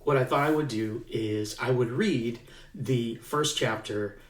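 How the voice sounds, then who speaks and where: A man reads his own novel aloud at a slow, deliberate pace. His voice sits mid-range, heard in a reverberant room from a mic set some way off. He pauses with fillers when chatting and puts more emphasis into the tense passages. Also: mid-range voice. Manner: unhurried, with halting fillers in the chat and livelier emphasis in the reading. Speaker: author. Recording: echoey room, mic at a distance.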